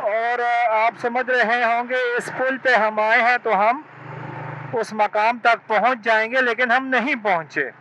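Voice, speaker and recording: A man's voice: very high voice, fairly even intonation, unhurried, lo-fi sound. A man from Pakistan with a Pakistani accent, explaining things to viewers in a moto vlog commentary. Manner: explanatory, addresses viewers directly. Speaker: vlogger. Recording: loud vehicle and road noise.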